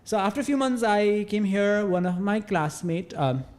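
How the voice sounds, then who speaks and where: A Nepali-accented man in his thirties, speaking English as a second language in a relaxed interview. His voice sits a bit high for a man yet sounds mellow and warm. He thinks aloud, hesitating and circling back as he speaks. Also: moderate pace. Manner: thoughtful, hesitant, many fillers like "uh" and "you know". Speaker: podcast guest. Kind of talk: casual interview conversation.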